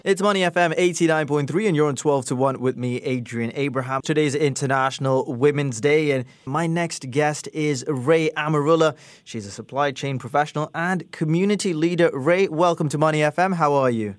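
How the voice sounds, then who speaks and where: A man hosting a radio interview, asking questions in a smooth, measured way. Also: fairly high pitch, dry recording, faint music behind. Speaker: radio host.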